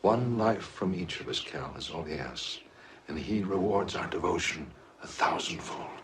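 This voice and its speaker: An actor playing a calm, devoted cult recruiter in a film drama, persuading slowly and solemnly in a hushed voice. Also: male voice, sits low. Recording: distant, noisy film clip with clicks.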